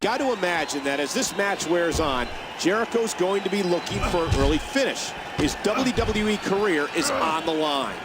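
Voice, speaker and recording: An earnest wrestling play-by-play announcer for a video game. His voice is high and excitable, with clear noise behind it. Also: normal speed. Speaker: play-by-play commentator. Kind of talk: sports commentary in a video game.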